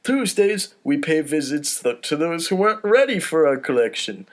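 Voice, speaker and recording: A man's voice: fairly high pitch, very animated, moderate pace, pitched above the performer's own voice. A man voicing a blustering mafia boss with emphatic, theatrical gangster patter in a comedy script read. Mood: smug, menacing. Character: mafia Don, blustering boss with cotton-stuffed mouth.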